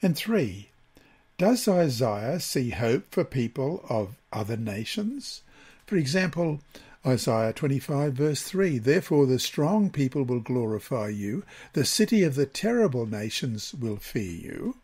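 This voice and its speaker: Male lesson reader from Australia, mid-pitched and a little raspy, delivering scripted devotional material with deliberate, measured phrasing. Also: very animated, a little mellow.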